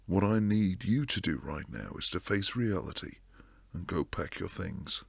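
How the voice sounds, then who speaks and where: A man acts out a protective bodyguard boyfriend in an intimate ASMR roleplay. His voice is very deep and gravelly, with a slow delivery that slides into hushed whispers. He sounds stern and weary with tenderness underneath, close on a dry microphone. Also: expressive, no echo, full character voice. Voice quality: low, gravelly, breathy.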